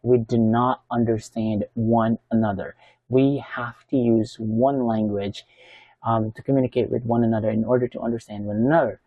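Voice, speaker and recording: A man's voice: mid-range voice, steady tone, normal speed, dry recording, lo-fi sound. A Filipino man in his thirties or forties, a native Ilonggo speaker with a Filipino accent, chatting casually as he reacts to a video and repeats facts back to himself. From Philippines.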